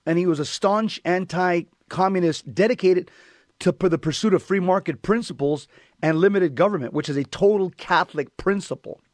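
A man's voice: fairly high pitch, no echo, clean studio sound. An American man hosting a talk radio show, giving emphatic monologue commentary in which he repeats and restates his points.

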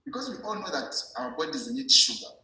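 Man, sharp bright voice, teacherly informal explanation, checks understanding, very reverberant room. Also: on the high side, sing-song, fairly quick. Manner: didactic, repeats and checks understanding. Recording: very echoey room.

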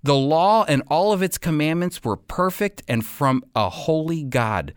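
Expressive male radio Bible teacher, emphatic delivery. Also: fairly high pitch, dry recording, studio quality. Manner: emphatic, deliberate, with weighty pauses. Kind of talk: radio sermon and commentary.

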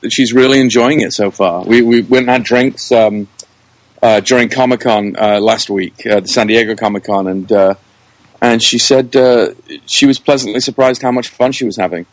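A man gives casual interview answers in a medium-pitched voice. He speaks hesitantly, working through his thoughts aloud, and the sound is very dry with hardly any room to it. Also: normal speed, no echo. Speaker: interview guest, show creator, writer and director.

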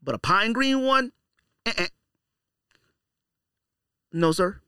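A man's voice: sits high, very animated, slow pace, pushed and bright, a little hiss or hum. A man hosting a podcast in a casual, opinionated monologue, blunt and slangy, repeating phrases for emphasis. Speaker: podcast host.

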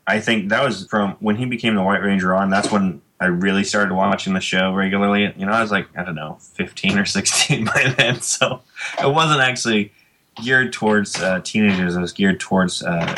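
A man's voice: deep voice, fairly even intonation, fairly quick, background noise. A man in his late thirties, a comic book creator chatting casually as a podcast guest, rambling with many fillers. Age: 30s.

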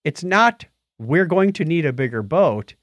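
Animated, higher-pitched man chatting. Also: unhurried, no echo. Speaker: podcast co-host. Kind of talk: casual chat about movies.

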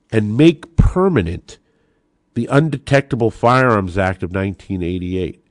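A man's voice: deep voice, a little mellow, dry recording, studio quality. An American man hosting an opinionated political podcast, delivering an emphatic, combative monologue with rhetorical flourishes. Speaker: podcast host.

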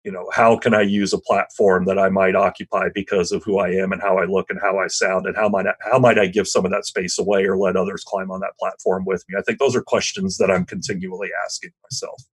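Husky, deep-voiced man, thoughtfully self-critical. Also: little pitch movement, fast talker, few pauses. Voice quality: loud voice. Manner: thoughtful, self-deprecating, frequent restarts. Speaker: history teacher. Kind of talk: reflective interview answer.

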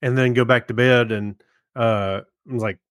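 A man's voice: medium pitch, fairly even intonation, normal speed, no room sound, studio quality. A man co-hosting a podcast, chatting casually and telling things in a hesitant, rambling way. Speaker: podcast co-host. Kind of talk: casual chat.

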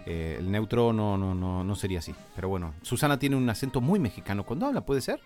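A man who voices characters, talking casually in an animated way and trying to talk in Mexican Spanish. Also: on the low side, quick pace, soft background music. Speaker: voice actor. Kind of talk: casual podcast chat.

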